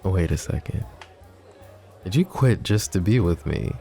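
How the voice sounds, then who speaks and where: A male voice actor playing a lovesick, brooding young mafia boss in an ASMR roleplay. He speaks softly and intimately, sometimes dropping to a whisper, with wry, self-mocking humor. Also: low voice, lively intonation, a little slow, vocal fry, soft background music, full character voice. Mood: flustered, teasing. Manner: soft, intimate, drops to whispers.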